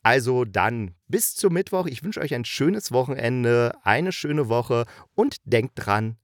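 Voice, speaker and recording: Male review podcast host, mid-pitched, enthusiastic and colloquial, loose rambling delivery, dry recording. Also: lively intonation, takes it slowly, no room sound. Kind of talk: casual chatty review monologue.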